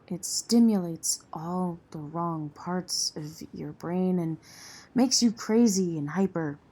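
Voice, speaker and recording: A woman's voice: lowish voice, dark tone, slightly rough. A woman playing a tough, jaded relief center volunteer in an audio drama, blunt and world-weary.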